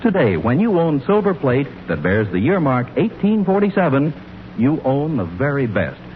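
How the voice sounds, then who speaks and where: Male 1940s radio announcer, mid-pitched with sweeping intonation, polished sales delivery, muffled period sound. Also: very animated, normal speed, a little hiss or hum. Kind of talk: 1940s radio scripted narration and sponsor pitch. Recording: muffled old radio recording.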